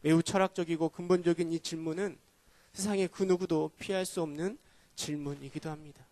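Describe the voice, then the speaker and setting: A man preaching with a hoarse, cold-roughened voice that sits fairly high. He speaks earnestly and keeps asking the congregation rhetorical questions. The sound is dry and close, with no room echo. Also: steady tone, brisk, a little mellow. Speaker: pastor. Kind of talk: sermon at a Friday prayer meeting. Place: church.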